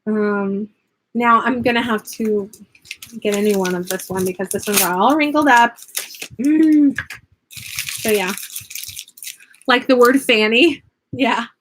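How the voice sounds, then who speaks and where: Young american woman chatting away while crafting, kinda high voice, laughs a lot, goes off on tangents. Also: unhurried, pauses often, fairly bright tone, slight background noise, low-quality recording. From United States. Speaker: craft vlogger and online teacher. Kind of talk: casual chat while crafting.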